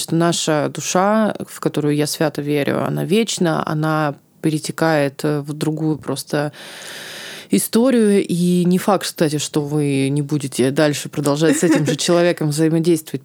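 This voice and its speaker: A woman's voice: sits low, normal speed, darkish tone, no room sound. Tearful woman host, close dry sound. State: voice trembling from tears. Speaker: podcast host. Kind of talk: podcast conversation and sign-off.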